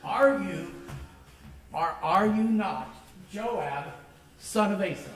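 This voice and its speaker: Skeptical, haughty elder, high for a man, slow, echoey, distant old radio recording. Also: animated, faint music behind. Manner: probing, skeptical questioning. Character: haughty, suspicious religious elder.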